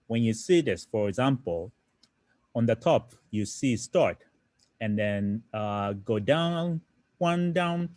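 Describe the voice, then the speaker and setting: A young man with a Japanese accent teaches beginners in English, his second language. His pitch rises and falls in a lively way as he explains slides and keeps asking "right?". The sound is dry and close. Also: slow, no room sound. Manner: explanatory, many "right?" tag questions and fillers. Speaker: programming instructor. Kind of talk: online lesson explaining slides.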